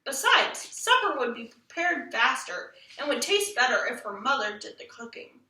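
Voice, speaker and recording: A woman's voice: high-pitched, very animated, normal speed, pushed and bright. A woman narrating a children's story expressively, as a storybook reader. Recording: echoey room, distant microphone.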